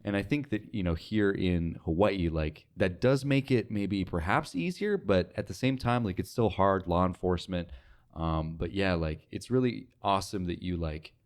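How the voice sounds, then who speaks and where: Deep-voiced American man, casual interviewer. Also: lively intonation, normal speed. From mainland United States. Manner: informal, heavy use of "like". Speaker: interview host. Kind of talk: casual chat.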